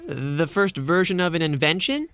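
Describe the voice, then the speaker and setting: A man's voice: on the high side, sing-song, normal speed, a bit bright, smooth. A man playing a timid, polite news reporter in an audio drama, hesitant and earnest. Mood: nervously earnest.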